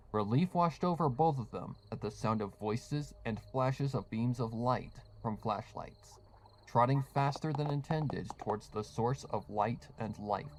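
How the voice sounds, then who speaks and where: A man reading scripted horror fiction as a storytelling narrator. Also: mid-range voice.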